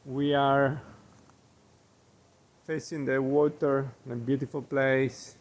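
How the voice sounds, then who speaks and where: A man's voice: mid-range voice, flat delivery, slow. A middle-aged man from Italy with an Italian accent, a chef talking through his food in a rambling, hesitant way full of ums. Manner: rambling, frequent ums and uhs, hesitant English. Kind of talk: casual cooking vlog walk-through. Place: outdoors by the Gulf of Mexico in Florida.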